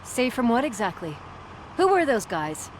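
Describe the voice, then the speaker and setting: An actress playing a streetwise woman ally, talking in quick, dry, sarcastic remarks, set against outdoor street noise. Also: fairly high pitch, sing-song. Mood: wry.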